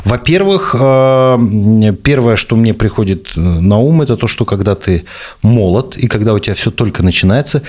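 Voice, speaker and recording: A man's voice: fairly low pitch, animated, normal speed, darkish tone. A man in his forties hosting a podcast, talking in a casual, frank, joking way. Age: 40s. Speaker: podcast host.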